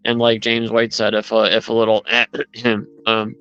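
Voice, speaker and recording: A man's voice: fairly low pitch, completely flat delivery, a little slow, fairly bright tone, smooth, highs cut off. A man in casual chat, rambling as he retells events with lots of asides.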